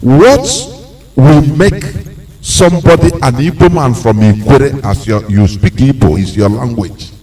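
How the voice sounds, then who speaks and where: A man's voice: mid-range voice, lively intonation, unhurried, darkish tone, recorded from a distance, rough recording. A radio host from Imo State in Nigeria, probing his caller with repeated questions and restarts. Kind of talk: call-in radio interview.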